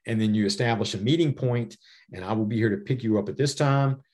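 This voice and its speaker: A man's voice: fairly low pitch, fast talker, a little mellow. A middle-aged man with an American accent answering interview questions conversationally, explaining things with personal anecdotes and often saying "you know". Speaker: podcast guest, safety author and former federal air marshal.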